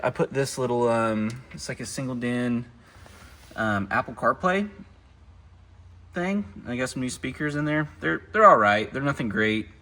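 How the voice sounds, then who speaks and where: A relaxed man rambles through a show-and-tell of his car, leaning on fillers and letting sentences trail off. He sits inside the vehicle and talks to a camera set up in front of him. His pitch sits in the ordinary middle range for a man. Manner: rambling and loose, heavy on fillers and trailing thoughts. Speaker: vlogger showing his car setup. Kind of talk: casual show-and-tell vlog. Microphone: camera mounted in the car.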